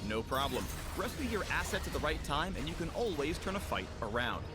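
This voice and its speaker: A man's voice: a little high, big pitch swings, brisk, over loud music. A man reading scripted promotional narration as the narrator of a game trailer.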